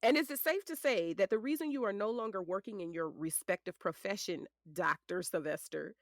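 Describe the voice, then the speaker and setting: A woman's voice: medium pitch, brisk, no echo, studio quality, lightly acted. A woman playing a polished defense attorney in a courtroom drama, formal, methodical and pointed. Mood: pointed, cutting. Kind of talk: audio drama acting.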